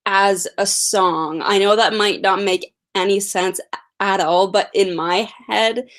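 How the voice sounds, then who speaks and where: A nineteen-year-old woman who stutters, chatting slowly and casually on a livestream as she answers viewer questions. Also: medium pitch. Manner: stutters with repeated sounds and blocks. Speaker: livestream host.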